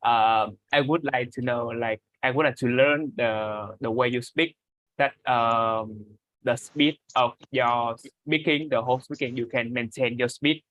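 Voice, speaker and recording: A man's voice: medium pitch, fairly even intonation, measured pace, fairly bright tone, poor audio. A man from Vietnam, learning English on a video call from his room, hesitant and full of fillers. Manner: hesitant, many fillers, nervous laughs. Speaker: English learner in an online class.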